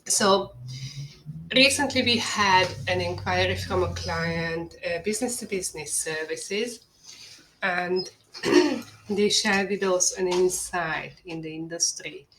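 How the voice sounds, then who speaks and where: Slow, low-voiced woman with Hungarian accent. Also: noisy background. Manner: earnest, measured, salesy. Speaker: marketing agency owner presenting a webinar. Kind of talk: scripted webinar presentation.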